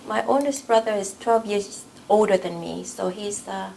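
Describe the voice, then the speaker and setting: A woman in her forties with a Vietnamese accent shares personal memories as an interviewee. Vietnamese is her first language. Her voice has a fair amount of fry, and there is faint noise in the recording. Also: mid-range voice, steady tone, normal speed, a little mellow, low-quality recording. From South Vietnam. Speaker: oral history interviewee.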